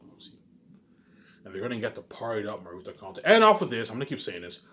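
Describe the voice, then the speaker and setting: A man's voice: fairly low pitch, sing-song, fast talker, a bit bright. A man rambling casually in a monologue, boastful and emphatic, repeating himself and asking questions that he answers himself. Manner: boastful, profane. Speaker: vlogger.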